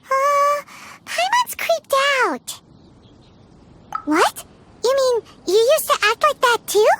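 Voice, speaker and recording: A woman voicing a tiny childlike fairy companion in a video game, excitable, easily spooked and nosy, squeaky and exclamatory. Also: slow pace, full character voice. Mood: creeped out. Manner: refers to self in third person, exclamatory. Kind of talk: video game character acting. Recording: music behind the voice.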